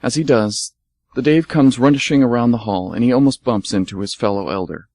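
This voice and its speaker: A man narrating a scripted radio play in a calm, measured way. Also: mid-range voice, no room sound, clean studio sound.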